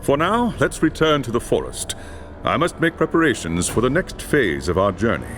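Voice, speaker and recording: A man playing a grave, cautious high elf lore master in a fantasy game, speaking in a solemn, deliberate and formal way. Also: huge pitch swings, a bit bright. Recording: music behind the voice.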